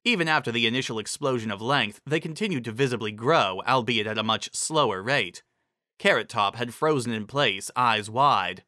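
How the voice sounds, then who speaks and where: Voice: mid-range voice, lively intonation, normal speed, sharp tone, no echo, studio quality. A narrator giving a dramatic, scripted reading of a fantasy story.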